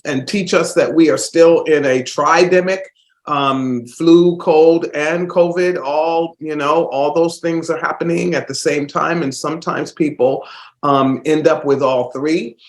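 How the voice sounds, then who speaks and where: A man's voice: fairly high pitch, fairly even intonation, measured pace, reverberant. A man hosting a casual solo podcast while stuffed up with a cold, rambling with fillers and restarts. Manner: rambling, warm-hearted, many fillers and restarts. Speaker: host.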